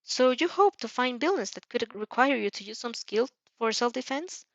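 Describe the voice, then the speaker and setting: Storybook animal headmaster, small and polite, gently nosy, high voice performed by a woman; lo-fi fan fiction reading. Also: lively intonation, moderate pace, no echo. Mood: curious. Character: polite, cheerful, probing animal school principal with paws.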